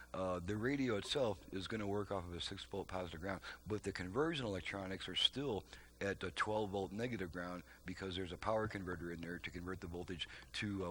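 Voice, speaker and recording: A man giving a casual, unscripted demonstration as a repair technician, explaining things haltingly and correcting himself as he goes. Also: deep voice, fairly bright tone, slight background noise.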